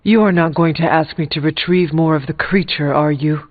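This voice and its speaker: An actor playing a stoic, guarded warrior in a video game, speaking in a formal, reserved and deliberate way. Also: male voice, on the high side, no echo, natural voice. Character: stoic, guarded male demon-hunting warrior, formal and grave. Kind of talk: scripted video game character dialogue. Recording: tinny band-limited game audio over music.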